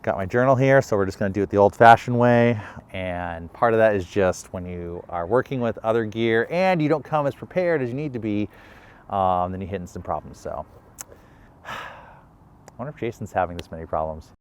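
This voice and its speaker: Low-voiced man vlogging casually. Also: lively intonation, fairly quick, clear, resonant tone. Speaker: video creator vlogging a filming challenge. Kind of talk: casual vlog talk to camera.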